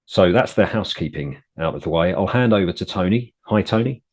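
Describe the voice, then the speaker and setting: A deep-voiced British man hosts the start of a webinar. His friendly, clear delivery has the occasional filler as he talks through a headset. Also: animated, a little fast. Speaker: webinar host and technician. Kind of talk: webinar introduction and housekeeping.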